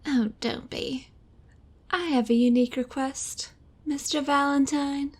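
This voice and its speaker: An actress playing a cool, mysterious, wealthy widow in a noir audio drama, poised and deliberate. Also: female voice, sits high, fairly even intonation. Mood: cool, sultry.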